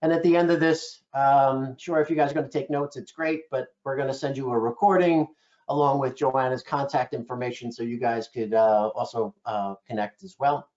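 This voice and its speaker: A man's voice: medium pitch, fairly even intonation, normal speed, darkish tone, highs cut off. A man hosting and introducing a webinar in a casual way, with many fillers. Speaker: webinar host.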